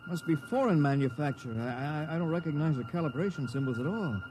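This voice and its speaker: A man's voice: on the high side, animated, fast talker, over music, close to an everyday voice. An actor playing an earnest, anxious spaceship crewman, hesitant and deferential, in 1950s radio drama style. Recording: noisy, clicky 1950s radio recording.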